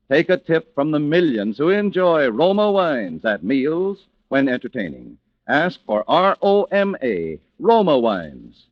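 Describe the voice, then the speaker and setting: An animated male announcer with a slightly high voice delivers a polished radio sales pitch. The sound is dry and muffled, an old 1940s broadcast. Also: dry recording. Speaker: commercial announcer.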